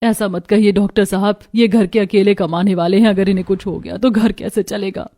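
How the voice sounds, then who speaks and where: A woman's voice: medium pitch, fairly even intonation, fairly quick, mellow, dry recording, close to an everyday voice. A woman voicing a dutiful, worried village wife and mother, earnest and pleading, in a moral story. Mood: anxious.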